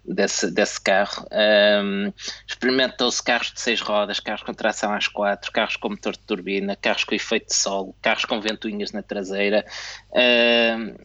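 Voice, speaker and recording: A man chatting casually as a co-host, often pausing with fillers and restarting his sentences. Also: fairly low pitch, fairly even intonation, sharp tone, no room sound, lo-fi sound. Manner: hesitant, many fillers and restarts. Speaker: co-host of a motorsport tech talk. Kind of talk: casual chat. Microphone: remote call mic.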